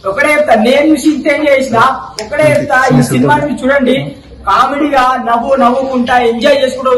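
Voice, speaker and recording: Man, fast talker, enthusiastic and repetitive, echoey crowded space, casual film review. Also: very high-pitched. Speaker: moviegoer giving a film review. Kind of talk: excited casual public reaction. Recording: echoey, crowd around, distant from mic.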